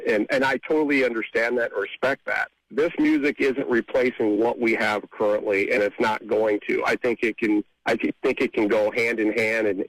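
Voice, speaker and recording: A man's voice: medium pitch, fairly bright tone, dry recording, low-quality recording. A man answering casually as a songwriter guest in an interview, often repeating and restarting his phrases.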